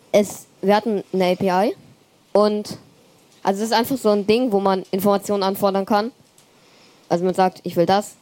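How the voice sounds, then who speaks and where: A woman's voice: medium pitch, a little mellow. A woman explaining her team's project and answering questions plainly. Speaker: student presenter. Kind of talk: project presentation and Q&A.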